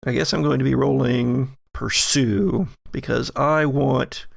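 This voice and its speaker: A man runs a horror tabletop game with a strongly creaky voice. He narrates slowly and deliberately, feeling for his words, in a very dry recording. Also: medium pitch, steady tone, no room sound. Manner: deliberate, halting, searching for words. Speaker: game master.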